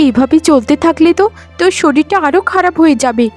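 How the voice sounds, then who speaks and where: A woman as a high-voiced, caring elder wife. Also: animated, fast, darkish tone, faint music behind. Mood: worried, coaxing. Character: caring, worried elder co-wife, pleading and sisterly. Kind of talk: melodramatic cartoon voice acting.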